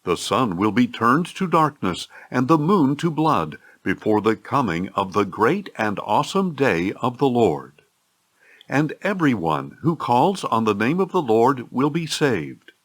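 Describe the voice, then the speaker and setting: Male narrator for audio Bible, mid-pitched, unhurried, solemn scripted reading with animated intonation.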